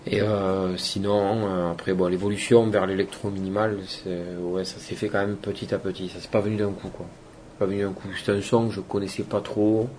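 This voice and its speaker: A man's voice: deep voice, level tone, a little fast, a little mellow, slight background noise. A man from Toulouse, a DJ and producer, giving loose, rambling interview answers that trail off with fillers. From Toulouse, France. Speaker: electronic music DJ and producer.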